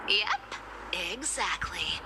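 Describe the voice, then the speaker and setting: A woman's voice: mid-range voice, very animated, very slow, heavy background noise. A woman playing a playful, teasing young trickster demigod and treasure hunter in a video game. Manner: teasing, breezy, giggly. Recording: music under the voice. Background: game music.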